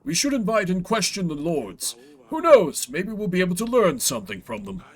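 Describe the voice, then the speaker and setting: A man's voice: high-pitched, very animated, moderate pace. A man voicing a dutiful, cautious royal advisor in a video game, formal and deferential in manner. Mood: earnest, advising.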